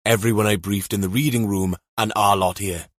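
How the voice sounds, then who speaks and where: A man voicing a gruff, irritable senior police detective, curt and muttering, in a thriller audiobook. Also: fairly low pitch, fairly even intonation, a little fast, no room sound, studio quality, natural voice. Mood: angry, curt.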